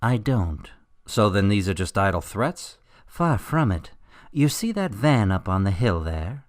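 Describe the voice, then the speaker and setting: A man playing a smug criminal mastermind professor in a radio drama, coolly threatening and taunting in a deliberate, theatrical way. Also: lowish voice, sing-song, no echo, clean studio sound. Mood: smug menace.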